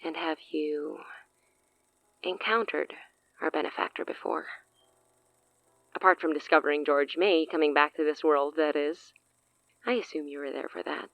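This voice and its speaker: A woman's voice: sits low, steady tone, normal speed, vocal fry, pauses often, no room sound, dull audio. A woman playing a smug, menacing sorceress in an audio drama, theatrical and taunting with amused condescension. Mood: coolly probing.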